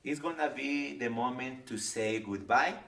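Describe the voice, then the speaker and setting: A man's voice: mid-range voice, unhurried, fairly bright tone. A middle-aged man from Ecuador, a native Spanish speaker with an Ecuadorian accent, giving friendly travel-packing advice to camera and often checking in with "okay?". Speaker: travel guide. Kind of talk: casual explainer to camera. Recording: roomy sound from a distance, faint ambient noise.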